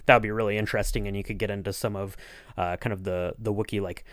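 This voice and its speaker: Male podcast host, low register, loose and halting conversational delivery, close dry sound. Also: steady tone, no room sound. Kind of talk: casual podcast chat.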